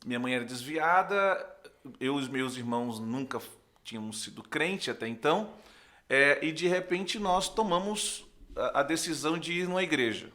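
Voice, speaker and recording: A man's voice: a little high, normal speed. A young man with a northeastern Brazilian accent, a pastor and podcast guest, giving a conversational testimony and acting out dialogue as he tells it. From Recife, northeastern Brazil. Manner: animated storyteller, acts out dialogue, often checks with "né".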